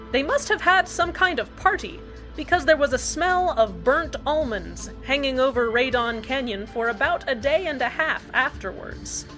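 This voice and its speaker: A woman's voice: high voice, fairly bright tone. A woman hosting a scripted community radio show, delivered in a cheerfully matter-of-fact way. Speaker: radio host. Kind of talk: scripted fan-fiction radio narration.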